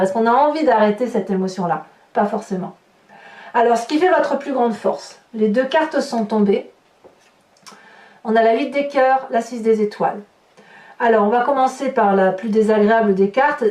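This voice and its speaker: A woman's voice: fairly high pitch, a little mellow. A woman giving an improvised tarot reading to viewers, emphatic, repeating and building on her phrases. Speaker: tarot reader, horoscope host. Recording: roomy echo, distant microphone.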